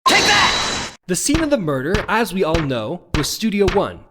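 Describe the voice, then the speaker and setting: A man's voice: high-pitched, huge pitch swings, normal speed, heavy background noise. A man playing an earnest young defense attorney in a courtroom game, cocky yet nervous, shifting between dramatic declarations and wry asides. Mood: bold, forceful. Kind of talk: dubbed courtroom drama video game acting.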